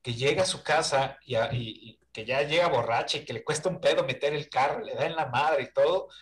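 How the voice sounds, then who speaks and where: A man with a Mexican accent talks casually about films in a fairly high voice. He feels his way through sentences, hesitating and checking often for agreement. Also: fairly quick, rough recording. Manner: hesitant, searching for words, often seeking agreement. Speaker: panelist in a film discussion. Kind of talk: casual film discussion.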